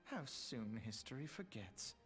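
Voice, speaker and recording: A man's voice: mid-range voice, sing-song, measured pace, a bit bright, soft background music. An actor playing a composed young male scholar in a fantasy game, speaking formally and instructively. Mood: composed, reflective.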